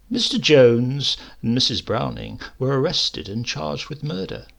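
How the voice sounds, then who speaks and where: A man with a husky voice reading a literary story in a measured, wry way. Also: medium pitch, a bit bright. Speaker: story narrator. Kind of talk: scripted literary narration.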